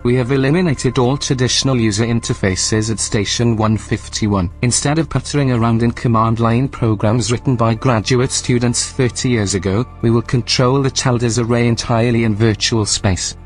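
An actor playing a composed, snide artificial intelligence running a station in a sci-fi audio drama, speaking in a precise, formal, deadpan way. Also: male voice, mid-range voice, moderate pace, hardly any pauses, faint music behind, close to an everyday voice. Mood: matter-of-fact. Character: dry, snide male AI station supervisor, composed.

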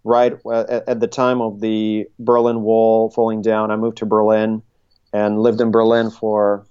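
A middle-aged man, speaking conversationally as an interview guest and haltingly thinking his way through his answers. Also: lowish voice, monotone, a little mellow, smooth, muffled recording. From Russia, Soviet Union. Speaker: interview guest, tech consultancy CEO. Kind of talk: conversational interview, recounting career.

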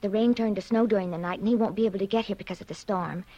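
Actress as husky, solicitous woman in old radio drama. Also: medium pitch, fairly even intonation, racing, dark tone, no room sound, lo-fi sound, close to an everyday voice. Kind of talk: 1940s radio drama acting. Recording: vintage 1940s radio broadcast.

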